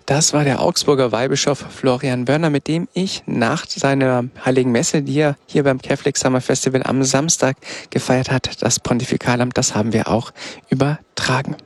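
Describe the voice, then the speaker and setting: A man's voice: mid-range voice, steady tone, normal speed, no room sound. A man working as a radio interviewer, presenting and asking questions politely.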